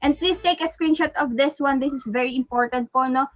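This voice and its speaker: A woman's voice: high voice, a little fast. A woman with a Filipino accent politely hosting a casual live online webinar, thanking attendees as she goes. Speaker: webinar host. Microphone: online video call.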